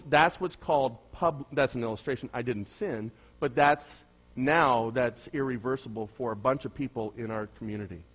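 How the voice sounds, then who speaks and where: A middle-aged male pastor with a soft voice, telling a story in a sermon and swinging between loud emphasis and hushed asides. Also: medium pitch, lo-fi sound.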